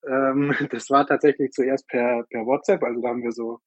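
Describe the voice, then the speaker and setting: A man from southern Germany answering interview questions informally, halting often as he searches for words. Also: mid-range voice, little pitch movement, breathy edge, dry recording. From Munich, Germany. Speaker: interview guest, newsletter co-founder. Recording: dry, slightly dull lo-fi sound.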